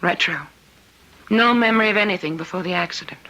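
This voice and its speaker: A woman's voice: medium pitch, fast talker, sharp tone, natural voice, low-quality recording. An actress playing a composed woman hospital doctor in a radio drama, with a dry, assured manner. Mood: businesslike. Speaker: actor.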